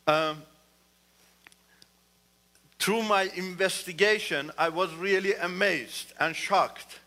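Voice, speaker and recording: Man, guest church speaker, earnest, emphatic, bright tone. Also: sits high, slow pace. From Iran. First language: Turkish. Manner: earnest, repeats "you know", rises emphatically. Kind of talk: testimony talk in church.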